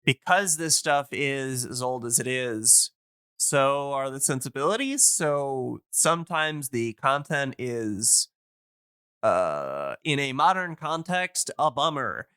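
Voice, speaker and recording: A man in a casual explanatory podcast chat, talking in a hesitant, halting way as he thinks aloud. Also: mid-range voice, very animated, pushed and bright, clear, resonant tone, no echo, clean studio sound.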